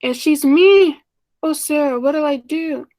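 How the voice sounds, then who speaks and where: A woman's voice: sits high, slow pace, darkish tone, no echo. Woman playing a flustered, romantic schoolteacher, close and dry. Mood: flustered, anxious. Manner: earnest, flustered, hesitant. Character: anxious, romantic mid-thirties schoolteacher writing love letters. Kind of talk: 1940s radio play acting.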